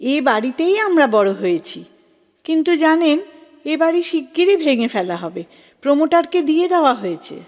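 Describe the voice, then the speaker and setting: A woman's voice: high voice, very animated, a little fast. A woman acting a hospitable, homely married elder sister in a Bengali audio drama, polite and explanatory, in a reverberant recording. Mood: welcoming. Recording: long reverberant hall echo.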